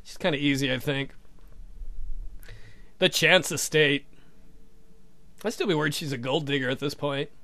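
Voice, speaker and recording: A man's voice: a little high, moderate pace, long pauses, pushed and bright. A man hosting a let's play, reading a visual novel aloud and adding irreverent, crude joking commentary.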